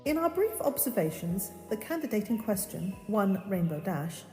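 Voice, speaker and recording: In a long, echoing reverb, a woman acts out a female official reading a formal evaluation. She is deliberate and officious, with a mellow tone. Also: medium pitch, very animated, soft background music. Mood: formal, critical. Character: formal adoption official's evaluation letter being read out. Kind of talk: formal letter read aloud. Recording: echoey hall-like reverb.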